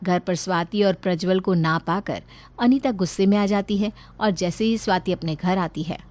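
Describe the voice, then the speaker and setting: A woman's voice: fairly low pitch, moderate pace, darkish tone. A woman narrating a scripted story.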